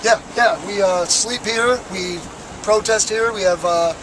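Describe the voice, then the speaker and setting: A young man with a raspy, worn voice, speaking earnestly and with passion as a protester in an outdoor street interview, with frequent fillers. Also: sits high, a little slow, background noise. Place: outdoors on a city plaza by traffic.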